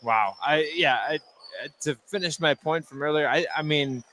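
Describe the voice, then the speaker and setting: A man giving unscripted color commentary on a basketball game, halting and repeating words as he goes. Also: on the high side, a crowd in the background. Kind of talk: unscripted sports analysis.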